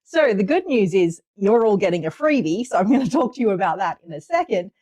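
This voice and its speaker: A woman's voice: mid-range voice, very animated, brisk, a little mellow. A woman hosting a casual livestream, chatty and upbeat while getting over a cold. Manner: chatty and upbeat, reading viewer names aloud. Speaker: livestream host. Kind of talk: casual livestream chat greeting viewers.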